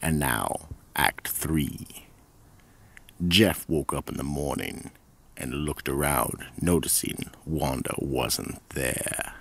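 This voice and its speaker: A man playing an ominous narrator of a horror radio play, with a gravelly, raspy voice. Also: a bass voice, takes it slowly, a bit bright, full character voice. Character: ominous male narrator of a horror mystery show.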